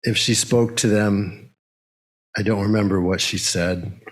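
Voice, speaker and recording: A man leading a service, speaking in a halting way as he makes announcements and reads poetry to a congregation. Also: on the low side, fairly even intonation, low-quality recording. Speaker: service leader and poem reader.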